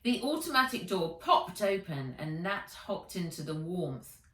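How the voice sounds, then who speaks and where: A woman author reading her children's book aloud as a storyteller, in measured, expressive narration. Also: on the low side. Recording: roomy echo, distant microphone.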